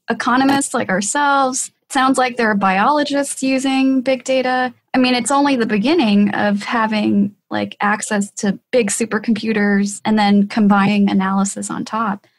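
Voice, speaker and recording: A woman's voice: on the high side, fairly even intonation, normal speed, hardly any pauses. A woman interviewer talking casually, with loose, hesitant phrasing. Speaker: podcast interviewer, economist.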